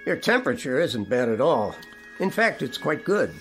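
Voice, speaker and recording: A reasonable, gently candid family doctor in a radio drama. He is reassuring and tactful, with very varied intonation over faint music. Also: mid-range voice, big pitch swings, moderate pace. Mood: patient, matter-of-fact.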